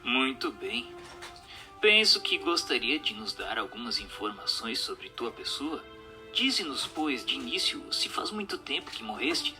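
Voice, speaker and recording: A formal, inquisitive séance investigator, acted by a man in a radio drama. His delivery is very bright and forceful, with lively swings in pitch, and music plays softly behind his measured, scripted words. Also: heavily acted. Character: formal, inquisitive séance questioner and narrator, male. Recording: music under the voice.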